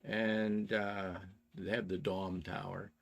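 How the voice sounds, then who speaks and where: A man in his sixties with a Canadian accent, chatting casually as a show co-host and explaining plans in a halting, methodical way. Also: lowish voice, little pitch movement, creaky, lo-fi sound. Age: 60s.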